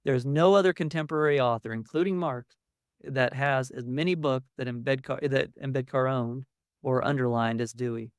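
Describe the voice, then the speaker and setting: A male academic delivers a lecture in a mid-pitched voice. He explains ideas conversationally, stressing key words as he goes. The sound is dry, with very little room on it. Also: normal speed, clear, resonant tone, dry recording. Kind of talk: public academic lecture with slides.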